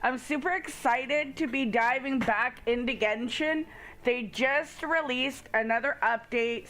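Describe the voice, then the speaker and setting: A woman's voice: high-pitched, a bit bright. A woman hosting a casual game livestream, chatting informally and speaking straight to her viewers. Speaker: game streamer, host. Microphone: headset.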